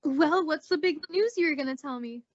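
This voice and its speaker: A very high, fluttery voice for an excitable, sympathetic girlfriend played by a woman. The delivery is theatrical radio comedy, heard on a slightly dull recording. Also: moderate pace, lightly acted. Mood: curious, puzzled. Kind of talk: old-time radio comedy acting. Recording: dull, lo-fi audio drama recording.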